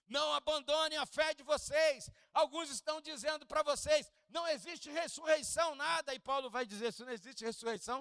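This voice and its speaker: A man with a Rio de Janeiro Portuguese accent preaching a sermon in a church, dropping to hushed low asides and rising to loud, high peaks, joking with the congregation and asking them to repeat lines after him. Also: no echo, studio quality. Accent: Carioca Portuguese. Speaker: pastor. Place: church hall.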